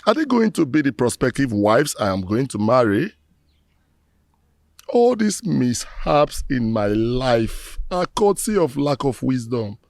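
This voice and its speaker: Sorry nigerian village rogue, gravelly, big swings in pitch, begging like a speech. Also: male voice, very animated, a little slow, no echo. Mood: anguished, pleading. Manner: oratorical, lamenting, formal. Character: remorseful middle-aged village rogue pleading with his ancestors. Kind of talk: theatrical screen drama monologue.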